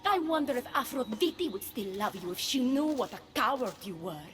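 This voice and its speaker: A woman's voice: on the high side, animated, normal speed, a bit bright, soft background music. A woman playing an imperious, proud goddess queen in a video game, haughty and commanding with a cold, wounded edge. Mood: scornful. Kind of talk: dramatic video game cutscene acting.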